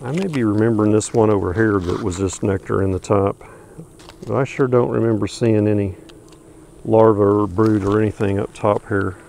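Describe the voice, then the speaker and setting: A man's voice: fairly low pitch, fairly even intonation, normal speed, vocal fry, a little mellow, a little hiss or hum. Easygoing man in a bee yard. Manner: easygoing, folksy, thinking aloud with fillers. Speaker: beekeeper vlogger. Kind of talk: casual narration while working. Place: outdoors in a wooded bee yard. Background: music and buzzing bees.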